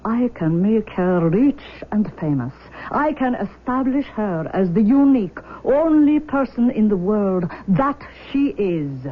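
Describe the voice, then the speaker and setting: An actress playing an intense, imperious forty-year-old Russian mother in a 1970s radio drama, speaking in emphatic, deliberate declarations. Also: mid-range voice, big pitch swings. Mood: proud, firm. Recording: muffled vintage radio broadcast recording.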